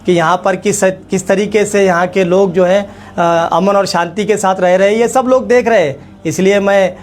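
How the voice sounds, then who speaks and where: High-pitched man making a political statement. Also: fairly even intonation, moderate pace, a little hiss or hum. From India. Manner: emphatic, repeats phrases for effect. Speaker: organisation leader giving a public statement. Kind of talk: formal political address to camera.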